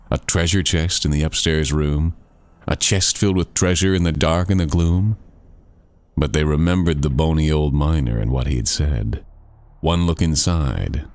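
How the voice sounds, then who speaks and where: A man narrating a scary rhymed campfire poem in a suspenseful, measured, sing-song cadence. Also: very deep voice, darkish tone. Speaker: poet and narrator. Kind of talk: dramatic rhymed scary storytelling.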